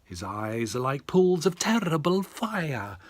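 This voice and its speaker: A hulking storybook Gruffalo father, boastful and dramatic, spinning a scary tale for his child, as a dad puts him on during a bedtime reading. He drawls slowly, and his lines swell up and then fall away to a low hush. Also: big pitch swings, full character voice.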